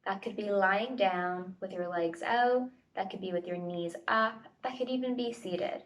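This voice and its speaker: Distant-miked woman guiding a meditation. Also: mid-range voice. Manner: gentle tentative invitations, unhurried pauses. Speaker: meditation facilitator. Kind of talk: guided body scan meditation instruction. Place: at home, indoors. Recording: home recording, distant mic.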